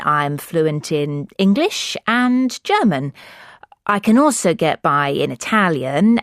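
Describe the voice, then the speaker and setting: Woman, fairly low voice, calm, clear, unhurried, scripted exam answer, dry sound. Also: no room sound. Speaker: exam candidate in sample answer.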